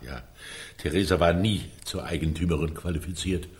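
A man's voice: sits low, normal speed, slightly rough. An actor playing a brusque, sceptical man with a dry, pedantic manner in a naturalistic radio drama. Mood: exasperated. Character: brusque, sceptical man fretting over a decaying family house.

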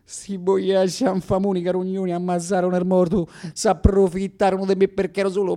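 An actor playing a boastful Roman street bully in a comic theatrical monologue, with a thick Roman accent and a swaggering, declamatory slangy delivery. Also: male voice, sits high, darkish tone, close to an everyday voice. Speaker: stage actor performing a one-man radio play.

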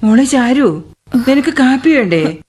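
A woman's voice: sits high, lively intonation, measured pace, a little mellow, soft background music. An actress playing a concerned mother fussing over her daughter, in a radio drama. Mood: caring. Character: caring older mother of the household.

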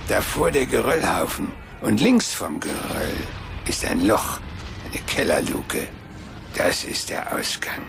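Gloating, theatrical villain of false courtesy; gravelly, extremely varied pitch. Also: male voice, big pitch swings, takes it slowly, fairly bright tone, a little hiss or hum, heavily acted. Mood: mocking politeness. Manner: oily, theatrical, mock-servile. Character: mocking male villain, falsely courteous show master. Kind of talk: German radio drama acting.

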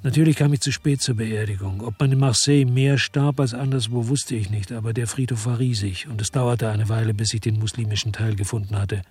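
Very husky, world-weary policeman narrator. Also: medium pitch, fairly even intonation, fairly quick, few pauses, darkish tone. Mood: brooding regret. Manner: brooding, understated. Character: disillusioned Marseille policeman narrating, weary, guilt-ridden, laconic. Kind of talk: radio drama first-person narration and dialogue.

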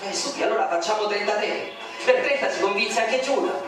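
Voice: medium pitch, expressive, fairly quick, close to an everyday voice. A reader voicing a smug, patronizing male boss who talks in a honeyed, coaxing way, in a radio poem reading. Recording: very echoey, distant from mic, music behind.

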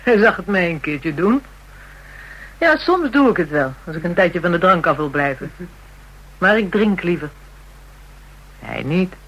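Husky, brash Dutch woman. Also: lowish voice, animated, unhurried, pauses often, rough recording. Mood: scornful, chatty. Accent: Dutch (Netherlands). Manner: brash, slangy, mocking. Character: hardened, jealous, taunting woman dealing in kinky services. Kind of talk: radio drama acting.

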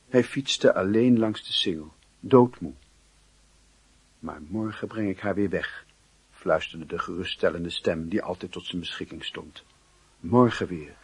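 A man narrating a wistful literary radio drama as a reflective, measured storyteller with a husky, raspy voice. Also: lowish voice, dry recording, rough recording. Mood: melancholy.

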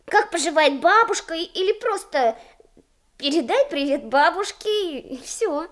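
A woman's voice: very high voice, expressive, measured pace, a bit bright, dry recording, clean studio sound, exaggerated voice. A woman acting a self-assured schoolgirl in a Soviet radio play. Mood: careful, innocent.

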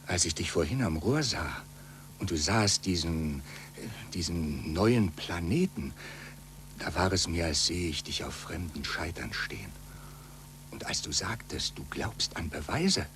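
An actor playing a grave, worried friend in a 1940s radio play, speaking in solemn declamation. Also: male voice, low-pitched, lively intonation, unhurried, a bit bright. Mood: sorrowful, tender. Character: Galileo's worried male friend, grave and foreboding. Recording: faintly noisy, humming 1940s radio recording.

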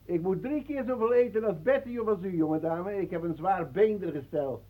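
An actor playing a boastful old former boxer in a Dutch radio play, chatty and clipped. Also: male voice, sits high, moderate pace, phone-quality sound. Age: older. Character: boastful old former boxer, proud of his big bones.

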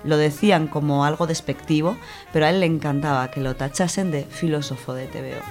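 A woman's voice: low voice, fairly even intonation, fairly quick, over loud music. A woman with an accent from Spain giving an enthusiastic, informal, conversational book review on a radio show, partly from prepared notes.

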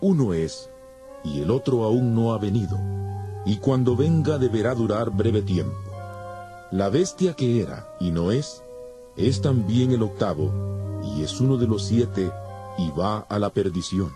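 A man's voice: lowish voice, animated, measured pace. A man voicing a solemn angel who declaims divine judgment in a dramatized scripture audiobook. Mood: solemn, explaining. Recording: loud music under the voice.

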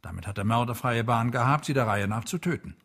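An actor playing a sober, weary murder investigator in a radio drama, speaking in a measured, reasoning way. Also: male voice, medium pitch, fairly bright tone. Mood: grave, reasoning.

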